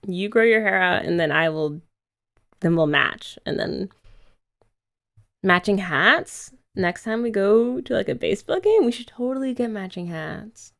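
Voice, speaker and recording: A woman's voice: medium pitch, unhurried, gravelly, a bit bright. A young woman voicing a playful, affectionate girlfriend in an ASMR roleplay, chatting casually with giggles and filler words.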